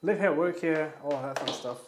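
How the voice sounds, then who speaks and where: A man's voice: a little high, moderate pace. A man from Denmark chatting casually and rambling, swearing now and then, as he cooks on a livestream in his home kitchen. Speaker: livestreamer cooking. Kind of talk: casual chat while cooking. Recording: echoey room with faint noise.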